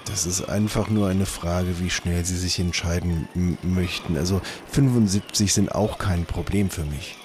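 Man playing a low-voiced, pompous haggling trader. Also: normal speed, hardly any pauses, darkish tone, a little hiss or hum, close to an everyday voice. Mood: slick haggling. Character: male trader hawking wares, polite, slightly pompous haggler. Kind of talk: improvised audio play.

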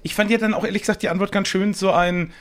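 A man's voice: high-pitched, steady tone, fast talker. A man chatting casually on a panel, explaining things colloquially and often repeating words as he goes. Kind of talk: casual chat.

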